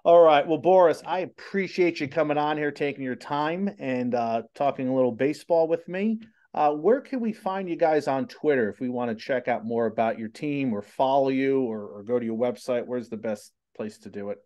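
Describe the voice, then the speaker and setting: A man's voice: medium pitch, moderate pace, mellow, muffled recording. A man, hosting a casual interview over video call from a small home studio, chatty and rambling. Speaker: host. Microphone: studio mic on boom arm.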